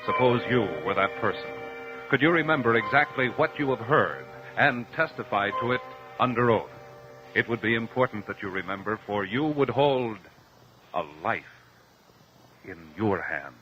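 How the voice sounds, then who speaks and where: An American man narrating as a mid-century radio announcer, reading a script with deliberate, dramatic emphasis. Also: medium pitch, lively intonation, music in the background. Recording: dull, lo-fi old radio recording.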